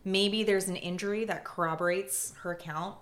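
A young woman talks casually in a slightly low but bright, forward voice. She is thoughtful and pauses often, restarting her sentences. Her fillers make it sound unscripted. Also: fairly even intonation. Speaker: prosecutor, podcast guest. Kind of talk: casual conversation.

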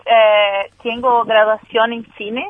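A woman's voice: on the high side, little pitch movement, slow pace, slight background noise. A woman with a Brazilian Portuguese accent, a native Portuguese speaker and guest filmmaker, speaking Spanish hesitantly. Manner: hesitant, laughs often. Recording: tinny phone line.

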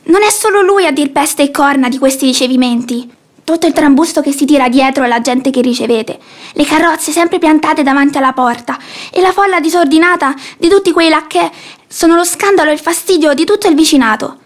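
A woman's voice: sits high, fairly quick, lightly acted. An actress playing an imperious, sanctimonious old mother-in-law in a theatrical radio play, haughty and preachy. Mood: stern, disapproving.

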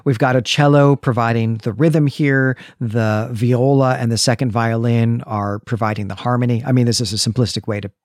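A middle-aged man with a medium-pitched voice speaks as a podcast co-host. He analyses things in a conversational, reflective way, often checking that his listener agrees. The recording is close and dry. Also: fairly even intonation, moderate pace, few pauses, darkish tone, no room sound.

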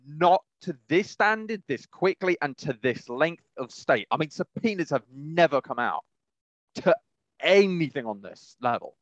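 A man co-hosting a podcast, casually discussing news in a halting, rambling way and often rephrasing mid-sentence. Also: a little high, very animated, no room sound, dull audio. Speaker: podcast co-host.

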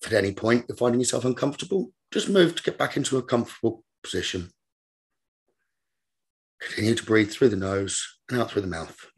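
A man leading a guided meditation as a teacher, giving gentle, repetitive instructions in a slow manner. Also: mid-range voice, breathy edge.

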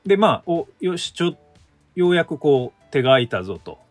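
Guy, ums and restarts everywhere, bouncy pitch. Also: very animated, normal speed, dry recording, faint music behind. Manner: hesitant, many fillers and restarts. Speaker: guest troupe member. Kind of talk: casual chat.

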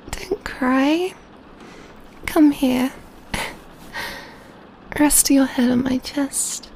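A woman playing a gentle, doting female vampire in a soft-spoken, tender ASMR roleplay. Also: sits high, a little hiss or hum, heavily acted, poor audio. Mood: soothing. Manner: tender, slow, hushed, softly giggling delivery. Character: gentle, doting female vampire pampering her adopted catgirl lover.

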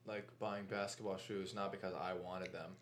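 A man's voice: sits low, level tone, normal speed, fairly bright tone, slight background noise. A teenage boy co-hosting a casual chat, earnest and reflective. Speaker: co-host.

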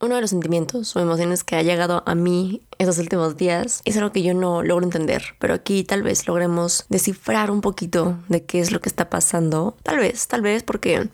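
A young woman with a Mexican accent hosting her own podcast, her voice a little hoarse from the cold, talking in a chatty, rambling way with fillers. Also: lowish voice, a little fast, hardly any pauses, darkish tone. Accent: Mexican Spanish. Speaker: podcast host. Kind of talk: casual solo podcast monologue.

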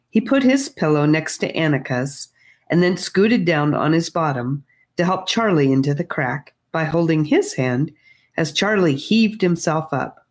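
Low-voiced woman reading a children's story. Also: a little mellow. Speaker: audiobook reader. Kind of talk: storybook narration.

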